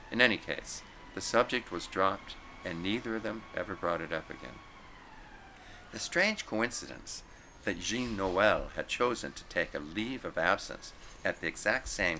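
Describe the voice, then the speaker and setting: Deep, bright-voiced man reading a story. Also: a little hiss or hum, poor audio. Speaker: story reader. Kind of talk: scripted narration, literary story read aloud.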